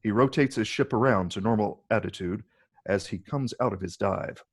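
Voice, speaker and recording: A man narrates screenplay action in a scripted reading. His voice is fairly low, with heavy gravel and a husky rasp. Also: moderate pace. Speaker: narrator reading stage directions.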